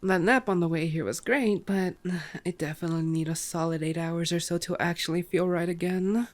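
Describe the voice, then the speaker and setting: A woman's voice: on the low side, steady tone, brisk, lightly acted. A woman voicing a playful, cocky female vampire in flirty, sardonic ASMR roleplay. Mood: dry grumbling. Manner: flirty, sardonic, foul-mouthed banter.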